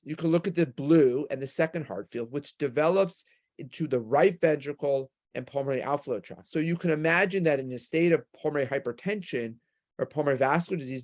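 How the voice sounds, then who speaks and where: Higher-voiced New York man giving a lecture. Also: a little mellow. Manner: explanatory, rhetorical questions. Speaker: medical lecturer. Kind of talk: conference lecture with slides.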